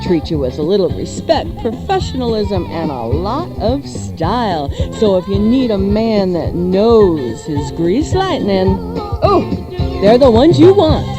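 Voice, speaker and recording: A woman hosting a radio show and reading ads in a sultry, playful, drawn-out way. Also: fairly high pitch. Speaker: radio show host and ad reader. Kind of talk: live-read radio ad patter. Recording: music behind the voice. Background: music.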